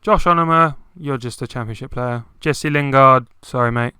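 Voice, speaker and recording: A young man chatting casually about football, dropping "like" into nearly every phrase. His voice is bright and very clear. The sound is dry and close, with little room. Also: medium pitch, normal speed, no room sound. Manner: chatty, many "like" fillers. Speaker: podcast co-host.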